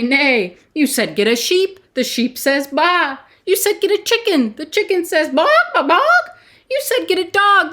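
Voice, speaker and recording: A worn-out man who cannot sleep for the noise, griping in a high, pushed-up voice, as a storyteller puts him on. He piles up complaints with mounting exasperation, loud and insistent, in a storybook telling. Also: heavily acted. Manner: exasperated, complaining, rising to near shouts. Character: frustrated sleepless man in a noisy house.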